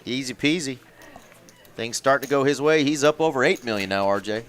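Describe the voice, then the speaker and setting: A man's voice: medium pitch, a little fast, fairly bright tone. A man calling a live poker final table from a commentary booth, with folksy, dry jokes. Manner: folksy, dry joking play-by-play.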